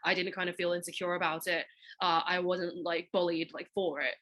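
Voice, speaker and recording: Bright young woman, chatty and rambling. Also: lowish voice, flat delivery, fairly quick. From Hong Kong. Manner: chatty, fills with "like" and "you know". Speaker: podcast host. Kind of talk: casual conversation.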